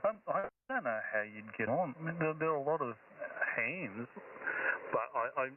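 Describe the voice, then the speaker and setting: A man's voice: medium pitch, lively intonation, normal speed, recorded from a distance. A man chatting casually on a ham radio net, rambling with lots of fillers and restarts. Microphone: heard over shortwave radio. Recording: tinny radio with faint static.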